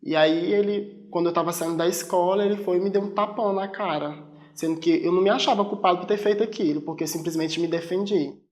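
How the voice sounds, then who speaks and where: A man's voice: high voice, steady tone, fast talker, darkish tone. A Brazilian man in his late twenties telling personal stories in a casual interview. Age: 20s. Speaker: documentary interviewee.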